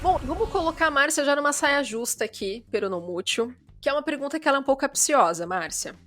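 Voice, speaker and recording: A woman's voice: high voice, lively intonation, fast, a bit bright, soft background music. A woman with a Brazilian accent hosting a podcast in a chatty, informal, enthusiastic way. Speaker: podcast host. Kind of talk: upbeat podcast presenting and interviewing.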